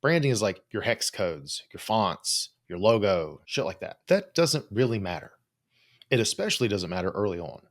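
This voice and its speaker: A man with a fairly low voice gives advice on his own as a host and coach. His delivery is informal and conversational. He is blunt and no-nonsense, and drops swear words casually as he talks. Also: sing-song, moderate pace. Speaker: host, psychologist and business coach for creators. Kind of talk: informal solo advice talk.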